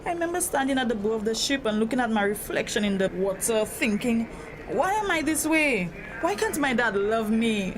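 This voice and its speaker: A woman's voice: fairly high pitch, normal speed, crowd noise. A woman acting as a hurt, troubled grown daughter in a drama, speaking earnestly and confidingly about feeling trapped. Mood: anguished. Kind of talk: dramatic acted dialogue. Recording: noisy location sound with steady ambience.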